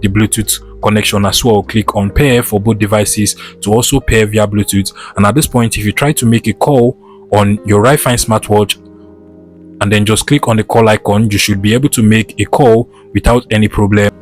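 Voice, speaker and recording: Male tutorial presenter, lowish voice, instructional step-by-step delivery over background music. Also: steady tone, moderate pace. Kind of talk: scripted step-by-step tutorial narration.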